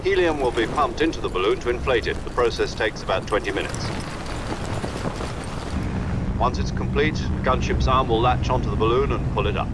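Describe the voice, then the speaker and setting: An actor playing a matter-of-fact military officer in a video game, explaining a mission in a precise, procedural way. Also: male voice, medium pitch, fast talker, few pauses, heavy background noise, close to an everyday voice. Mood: businesslike. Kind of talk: dramatic video game briefing. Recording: music behind.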